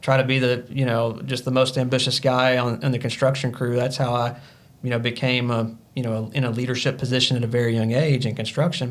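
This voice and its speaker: Earnest man in his thirties. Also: medium pitch. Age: 30s. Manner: earnest, motivational, lots of fillers. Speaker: podcast guest. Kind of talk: casual podcast conversation.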